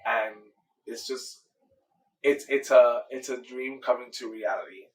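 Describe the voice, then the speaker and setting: A man's voice: medium pitch, measured pace. Enthusiastic man in his thirties, distant mic. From Miami, Florida, USA. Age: 30s. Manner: earnest, enthusiastic, repeats himself. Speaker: dancer and choreographer, guest. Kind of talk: casual interview answers.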